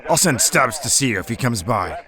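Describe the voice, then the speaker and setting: A man playing a shifty, nervy 1940s gangster henchman in a noir audio drama, talking slangy underworld talk in a gravelly voice. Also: medium pitch, sing-song, brisk, crowd noise, lightly acted. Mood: nervy, deferential. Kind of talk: noir radio drama phone conversation.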